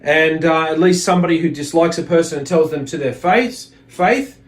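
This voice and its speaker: A man's voice: on the high side, moderate pace. A man giving an informal religious lesson, reading aloud and explaining in a hesitant, reflective manner. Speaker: religious teacher.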